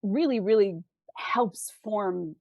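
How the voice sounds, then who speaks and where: A woman's voice: medium pitch, takes it slowly, no echo, dull audio. A woman speaking as an interview guest, explaining conversationally with examples and rhetorical questions. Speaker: interview guest, fiction author.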